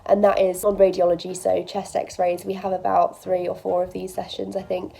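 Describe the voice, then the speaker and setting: A woman in her twenties, a medical student, chatting casually to camera in an upbeat, quick way, with a stuffy nose from a cold. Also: fairly low pitch, monotone, vocal fry, mellow, breathy edge. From England. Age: 20s. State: bunged up with a cold. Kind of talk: casual vlog talk.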